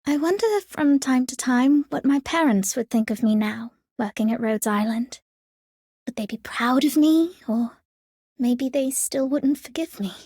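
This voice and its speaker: A gentle young game operator with firm ideals. Her high voice is earnest and reflective, recorded close and dry. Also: measured pace, husky, dry recording, heavily acted. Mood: wistful resolve. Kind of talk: English video game character voice lines.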